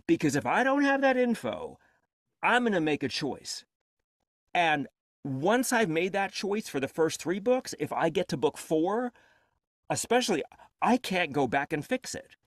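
Lively animated audiobook narrator. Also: male voice, on the high side, no echo, clean studio sound. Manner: expressive, gestures a lot. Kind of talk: animated panel talk. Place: home studio with acoustic foam. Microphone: earbud headset on video call.

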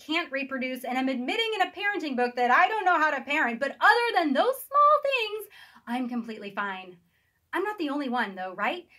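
A middle-aged American woman reading her own humorous audiobook in a recording studio, with an animated, wry, emphatic delivery. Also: sits high. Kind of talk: scripted audiobook narration, comedic personal essay. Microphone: studio microphone on boom arm, wearing headphones.

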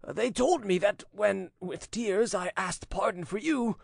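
A man's voice: high-pitched, sing-song, unhurried, vocal fry, husky, dry recording, clean studio sound, pitched above the performer's own voice, full character voice. A man voicing an anguished, tender old fisherman in his eighties in a dramatic audiobook, pleading and mournful. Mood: tearful, remorseful. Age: 80s. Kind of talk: dramatic audiobook character reading.

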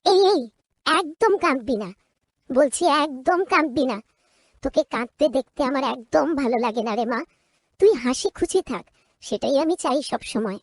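A woman's voice: high voice, animated, measured pace, dry recording, studio quality. A woman voicing a kind, motherly older lady of the house in a cartoon story, affectionate and full of feeling. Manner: affectionate, emotional, coaxing.

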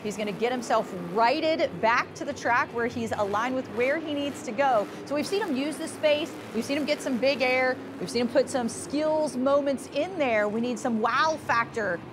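A woman's voice: sits high, moderate pace, few pauses, noisy background. A woman doing upbeat play-by-play commentary on a motorsport show, with engines behind her. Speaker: sports commentator. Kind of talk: arena sports commentary.

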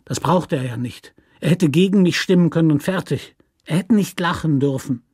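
An actor playing a hard, resentful convict in a radio play, with a nasal, whining, hissing voice that pleads and threatens. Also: male voice, on the high side, normal speed, a little mellow, breathy edge, no room sound, clean studio sound. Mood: bitter whining. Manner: pleading, aggrieved, snarling threats. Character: hard, lean male convict, resentful, cornered, whining and menacing.

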